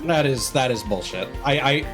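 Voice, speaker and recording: A man's voice: medium pitch, lively intonation, moderate pace. A man doing casual gameplay commentary as a livestreamer, rambling and easily sidetracked, with casual swearing. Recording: game music playing behind voice. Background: video game music.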